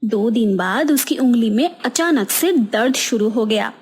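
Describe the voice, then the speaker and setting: A woman's voice: a little high, lively intonation, unhurried, a little mellow. A woman narrating a scripted cartoon moral story as its storyteller.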